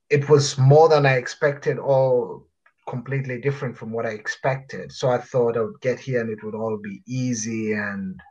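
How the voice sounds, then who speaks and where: A man in his thirties from Uganda, telling his life story as a guest in an interview, reflective and pausing with fillers. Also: medium pitch, slightly rough, lo-fi sound. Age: 30s. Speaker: guest author.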